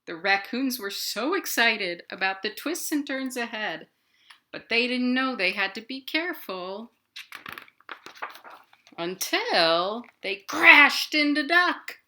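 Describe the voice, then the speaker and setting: Bright-voiced American woman, unhurried children's storyteller. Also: on the high side. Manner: expressive, addressing children directly with questions. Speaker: children's librarian and storytime host. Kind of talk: animated picture-book read-aloud and chat for young children. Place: indoors at her home.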